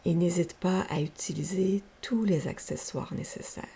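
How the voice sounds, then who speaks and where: A woman leading a guided yoga nidra relaxation as a teacher, speaking softly and breathily in gentle, unhurried, soothing instructions. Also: fairly low pitch, vocal fry, slightly rough. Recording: faint steady background hiss.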